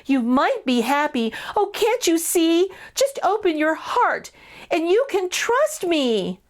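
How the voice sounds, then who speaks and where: A woman's voice: very high-pitched, sing-song, takes it slowly, pitched above the performer's own voice, exaggerated voice. A woman voicing a small, naive and hopeful young male mouse in a picture book, tender and pleading. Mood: tearful pleading.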